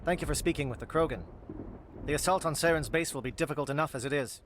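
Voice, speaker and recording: Fast, clipped alien captain acted by a man. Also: a little high, noisy background, lightly acted. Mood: businesslike. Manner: clipped, precise, formal. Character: brisk, pragmatic male alien military captain. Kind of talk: video game voice acting.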